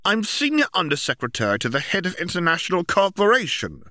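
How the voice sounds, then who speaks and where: A man's voice: high voice, huge pitch swings, quick pace, pushed and bright, no echo, clean studio sound, pitched above the performer's own voice, exaggerated voice. Man doing a pompous, earnest young bureaucrat. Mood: defensive. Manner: pompous, formal, insistent. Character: earnest, officious young male bureaucrat, diligent older brother.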